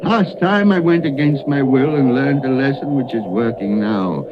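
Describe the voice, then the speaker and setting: An actor playing an anxious, pleading old miser in an agitated 1930s radio drama style. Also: male voice, mid-range voice, moderate pace, close to an everyday voice. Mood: earnest, resigned. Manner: agitated, imploring. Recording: dull, noisy 1930s radio broadcast.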